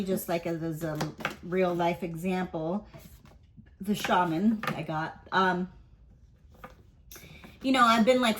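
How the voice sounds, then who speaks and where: A woman's voice: on the low side, background noise. A woman giving a casual weekly tarot and astrology reading. She speaks candidly, rambles, leans on fillers and swears offhandedly.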